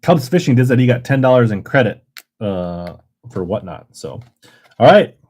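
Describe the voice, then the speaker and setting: A man's voice: medium pitch, very animated, normal speed, a little mellow. A man hosting a casual livestream auction, chatting in a rambling way and reading viewer comments aloud. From Minnesota. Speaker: live auction seller.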